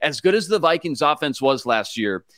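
A man co-hosting a sports podcast, giving brisk, stat-heavy analysis in a prepared way. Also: fairly high pitch, animated, a bit bright, no echo, studio quality. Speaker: producer and co-host.

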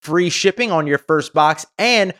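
A man's voice: a little high, lively intonation, measured pace, fairly bright tone. Man reading a friendly podcast ad. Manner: friendly, personal testimonial delivery. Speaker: ad reader. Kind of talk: host-read podcast ad.

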